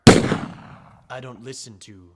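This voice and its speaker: A man acts out a cold crime boss in a radio serial. He speaks in a clipped, controlled way with quiet menace, at a middling pitch. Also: level tone, drawn-out words, heavy background noise. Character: cold, menacing male crime boss; also a polite butler. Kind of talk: old-time radio serial acting.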